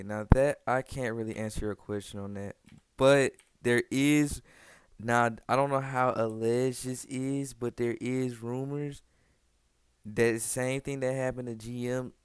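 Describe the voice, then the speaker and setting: A man giving casual, conversational video commentary on gaming news, speaking informally and repeating words for emphasis. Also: mid-range voice. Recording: music behind the voice. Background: background music.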